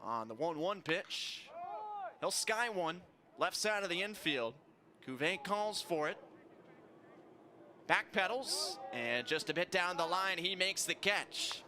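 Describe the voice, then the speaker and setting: A man's voice: sits high, lively intonation, slow. A man doing live play-by-play commentary of a baseball game as a sports announcer. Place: ballpark, live broadcast. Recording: noisy outdoor stadium ambience.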